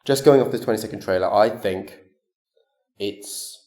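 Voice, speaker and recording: A man with a fairly low, very soft-edged, mellow voice co-hosts a fan podcast. He talks in earnest, gushing banter, stammering and circling back over his own phrases. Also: normal speed, reverberant. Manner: gushing, stammering, repeats himself. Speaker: podcast co-host.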